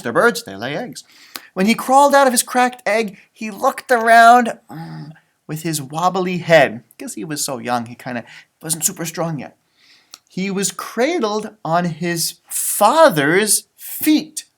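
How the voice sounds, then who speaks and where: A man's voice: sits high, a bit bright. A man reading a storybook aloud to children, chatting in exaggerated teacherly asides and repeating phrases for young listeners.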